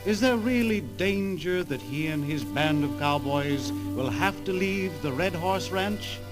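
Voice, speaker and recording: A man's voice: fairly high pitch, expressive, measured pace. A man announcing an old-time radio serial in a folksy, dramatic style. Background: loud music.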